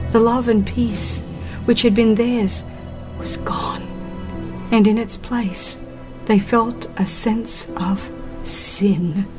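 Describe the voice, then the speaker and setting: A woman reading a dramatized religious audiobook as a narrator, in a solemn, measured and expressive storytelling manner. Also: a little high.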